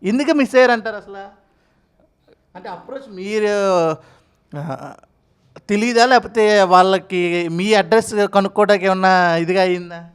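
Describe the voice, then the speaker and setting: A woman's voice: medium pitch, moderate pace, long pauses, clean tone. A woman interviewing in a chatty, effusive and encouraging way.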